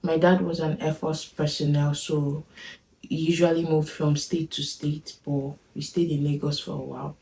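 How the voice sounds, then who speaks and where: A woman's voice: low voice, level tone, normal speed, dark tone, a little hiss or hum. A woman in her thirties with a Nigerian accent, chatting casually on a family vlog and laughing often. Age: 30s. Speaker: vlogger. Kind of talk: casual chat. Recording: echoey room from far off.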